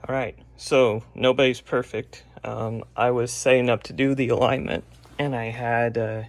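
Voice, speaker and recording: A man giving a casual, unscripted, step-by-step narration of hands-on repair work, with a gravelly, creaky voice. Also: medium pitch, level tone, normal speed. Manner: plain-spoken, unscripted step-by-step explaining. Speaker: radio restorer presenting a repair vlog.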